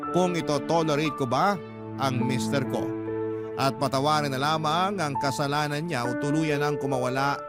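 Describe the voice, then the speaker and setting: A man's voice: a little high, normal speed. A man with a Filipino accent narrating a listener's letter as a radio host, in a dramatic storytelling style. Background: loud music bed.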